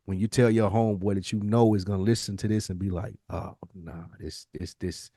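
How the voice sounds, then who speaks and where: A man's voice: fairly low pitch, fairly even intonation, a little fast, warm tone, dry recording, studio quality. A man co-hosting a podcast in casual chat, with an emphatic, informal way of talking. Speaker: podcast co-host.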